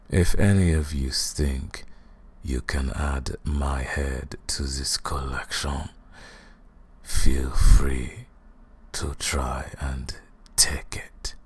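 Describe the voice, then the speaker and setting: Very deep, gravelly vampire lord. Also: male voice, exaggerated voice. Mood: cold, commanding. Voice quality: very deep, gravelly, husky, breathy. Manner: slow, deliberate, condescending, theatrical. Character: menacing, arrogant male vampire lord. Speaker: audio roleplay performer. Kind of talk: ASMR fantasy roleplay monologue.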